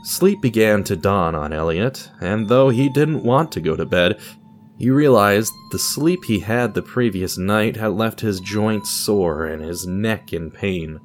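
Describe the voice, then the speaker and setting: A man's voice: fairly low pitch, a little hiss or hum. A man narrating a scripted audio drama in a theatrical, storybook style, with a wry touch.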